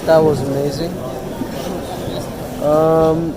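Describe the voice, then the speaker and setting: A man's voice: fairly high pitch, level tone, drawn-out words. A young man vlogging outdoors on a campus, joking and mock-boasting in a casual, teasing way. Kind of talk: casual joking vlog chat. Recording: outdoor phone recording with traffic noise. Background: street and traffic noise.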